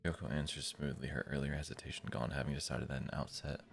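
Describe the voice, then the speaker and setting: A man's voice: very deep voice, little pitch movement, fast talker, gravelly. A man live streaming, reading a visual novel's narration aloud and breaking off to comment casually. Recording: music behind the voice. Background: guitar music from the game.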